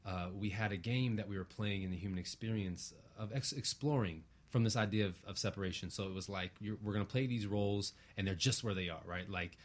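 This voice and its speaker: A man's voice: on the low side, fairly quick, few pauses. A man speaking as an interview guest in a reflective, earnest way, using abstract spiritual phrasing and often checking for agreement.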